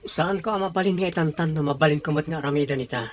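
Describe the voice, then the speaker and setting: A man's voice: fairly high pitch, quick pace, no room sound, lightly acted. A man playing a jobless middle-aged son, subdued and respectful. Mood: busy.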